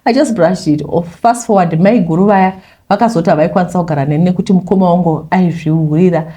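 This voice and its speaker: A woman's voice: lowish voice, fast talker, warm tone. A woman with a Zimbabwean accent telling a first-person confession story in a conversational way, mixing in English phrases. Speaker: YouTuber reading a listener's confession.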